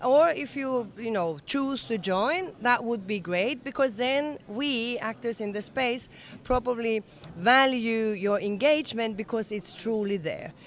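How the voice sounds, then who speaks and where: A woman's voice: fairly high pitch, unhurried. A woman in her sixties from Finland, a native Finnish speaker, giving an earnest, enthusiastic interview at an event. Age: 60s. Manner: enthusiastic, earnest, slightly non-native phrasing. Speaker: interview guest. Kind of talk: conversational interview. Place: event summit venue.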